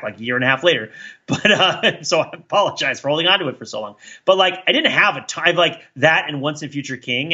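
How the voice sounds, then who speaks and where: A man co-hosting a podcast in casual, reflective discussion, thinking aloud in a halting, rambling way with repeated words. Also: on the high side, bright, forceful tone, husky, lo-fi sound. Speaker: podcast co-host.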